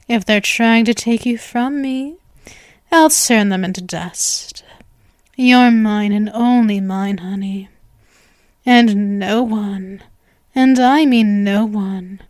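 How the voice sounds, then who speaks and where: Woman acting a slow, sweetly menacing vampire girlfriend. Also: a little high, darkish tone, dry recording, studio quality. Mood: possessive, sweetly menacing. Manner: slow, coy, cooing endearments with threatening possessiveness. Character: possessive centuries-old yandere vampire girl, sweetly menacing lover. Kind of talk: ASMR roleplay, intimate scripted monologue.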